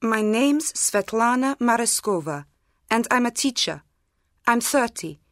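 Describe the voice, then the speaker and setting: A thirty-year-old married teacher introducing herself. Her voice sits slightly high, and she speaks in brisk, even sentences. The studio is dry. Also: dry recording. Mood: plain. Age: 30s. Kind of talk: scripted language-course monologue.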